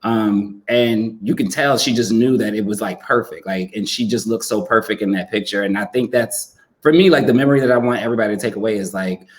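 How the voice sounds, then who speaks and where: A man with a fairly low voice talks as a guest author on a panel. He sounds conversational and earnest, thinking out loud in a reflective way. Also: a little fast.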